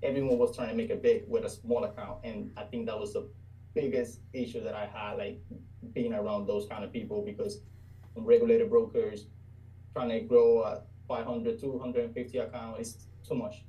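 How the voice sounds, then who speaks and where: A man's voice: mid-range voice, flat delivery, normal speed, dark tone, distant mic, low-quality recording. Hesitant young man. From Puerto Rico. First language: Spanish. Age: 20s. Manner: hesitant, searching for words. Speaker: guest trader. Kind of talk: casual interview.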